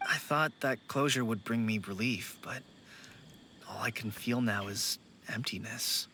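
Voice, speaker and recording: Bright-toned, wistful young-sounding worker. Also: male voice, mid-range voice, slight background noise. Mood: melancholy. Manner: sincere, regretful, measured. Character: wistful, earnest male funeral parlor worker. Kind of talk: anime game voice acting.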